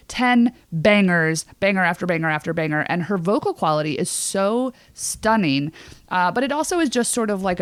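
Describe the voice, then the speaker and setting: A woman's voice: on the low side, no room sound. A woman co-hosting a podcast in casual chat, talking in a gushing, emphatic, slangy way. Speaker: podcast co-host.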